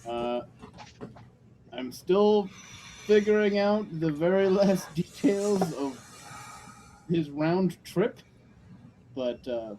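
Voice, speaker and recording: A man's voice: fairly high pitch, slight background noise, rough recording. A man playing in a tabletop game, talking casually with lots of fillers. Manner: animated, filler-heavy. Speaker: player.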